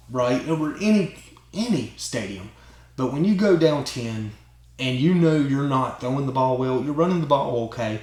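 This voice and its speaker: A man hosting his own channel tells a long story in a casual, excited way, rambling and often tagging lines with "right?" and "man". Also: medium pitch. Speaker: channel host. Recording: roomy echo.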